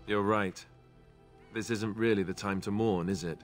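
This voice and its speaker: An actor playing an earnest, hesitant young warrior in a fantasy video game, dutiful and weighed by grief. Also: male voice, on the low side, fairly even intonation, normal speed, lightly acted. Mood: remorseful, sombre. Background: game music.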